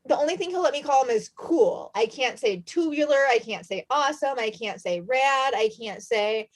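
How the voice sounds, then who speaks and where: A woman's voice: high voice, expressive, moderate pace. A woman in her thirties, a podcast host chatting casually and telling stories. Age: 30s. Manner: chatty, storytelling, laughs often. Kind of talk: casual chat.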